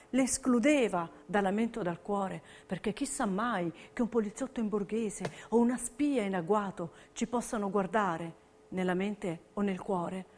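A woman giving scripted theatrical narration in rhythmic, emphatic, dramatic phrases. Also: mid-range voice. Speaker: stage narrator.

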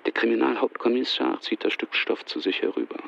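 A man's voice: medium pitch, expressive, a little fast, creaky, fairly bright tone. A man narrating a radio drama from a script, recorded with a slightly dull sound.

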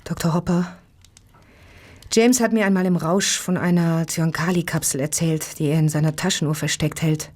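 An actress playing an anxious wife in a radio play, speaking with hushed, urgent pleading. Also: female voice, low voice, steady tone, moderate pace.

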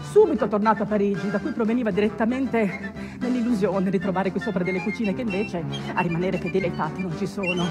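A woman playing a flamboyant, sardonic master of ceremonies on an ocean liner, showy and declamatory, with quick dry asides. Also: mid-range voice, fairly even intonation, music in the background, natural voice. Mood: sardonic, playful. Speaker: stage actor. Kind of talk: theatrical comic announcement.

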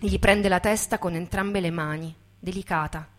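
A woman giving a dramatic, scripted stage narration with intense, measured storytelling. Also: on the low side, fairly even intonation, a bit bright, faint music behind. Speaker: actress and narrator.

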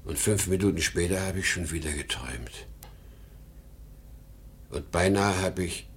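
A man's voice: low voice, unhurried, slightly rough, full character voice. An actor playing an exhausted, rattled travelling salesman in his sixties in a 1950 radio drama, rambling and agitated. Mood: dreamy, unsettled. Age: 60s. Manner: weary, agitated, rambling confession. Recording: noisy old 1950 radio recording.

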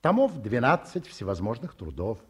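A very husky middle-aged gentleman scholar in a 1950s Soviet radio drama. He is courtly and pleased with himself, with ornate, hesitant phrasing and a voice that swings expressively in pitch. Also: wildly swooping pitch, moderate pace, exaggerated voice. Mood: modestly boastful. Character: courtly, self-satisfied middle-aged male academic, wistfully polite. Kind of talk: mid-century Soviet radio play acting. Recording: muffled-voiced 1950s radio studio recording.

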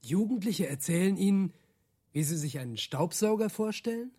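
A man's voice: high-pitched, animated, normal speed, mellow, husky. An actor playing a skeptical, hesitant male interviewer in a radio drama, asking doubtful, dry questions.

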